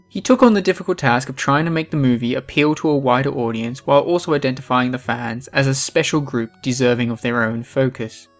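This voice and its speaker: A video essay host giving scripted, opinionated commentary with an emphatic delivery. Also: medium pitch.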